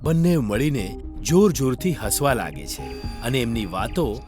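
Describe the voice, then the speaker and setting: A man's voice: medium pitch, sing-song, unhurried, over music. A man narrating a scripted moral story for children.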